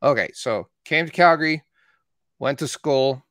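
A man's voice: fairly high pitch, unhurried, no room sound. Matter-of-fact man, dry close sound. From born in Iran, raised in Canada. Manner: steady, matter-of-fact storytelling. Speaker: marketing agency owner. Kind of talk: casual conversation.

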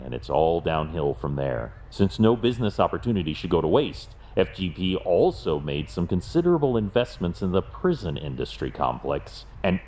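Man playing brooding wealthy crime-fighter, very low voice, varied intonation, grim, resolute, deliberate, audio drama. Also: a little mellow, a little hiss or hum.

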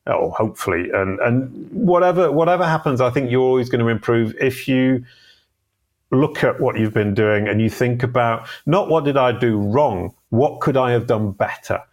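A man's voice: medium pitch, moderate pace. A man speaking as a guest in a casual interview, self-deprecating and chatty, often restarting his sentences. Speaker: guest on a live video show.

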